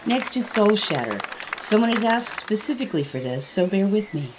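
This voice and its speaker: Actress as composed female sorceress in fantasy audio drama, mid-pitched, formal yet very varied in intonation. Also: big pitch swings, noisy background, lightly acted. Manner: formal, deliberate presentation. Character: composed female spellcaster formally presenting her spells.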